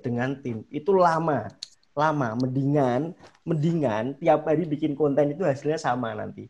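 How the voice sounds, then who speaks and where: A man with a Javanese accent talks casually to an online audience, answering questions as a guest speaker. He grows emphatic, his voice climbing when he stresses a point. His pitch sits in the middle range. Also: a little mellow, a little hiss or hum. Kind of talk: casual online seminar talk.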